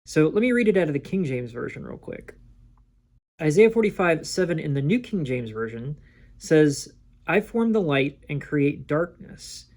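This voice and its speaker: Man explaining scripture, slightly high voice. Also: sing-song, normal speed, darkish tone. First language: English. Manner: reads scripture aloud, explains with everyday examples. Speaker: Bible teacher. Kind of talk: scripted solo teaching talk.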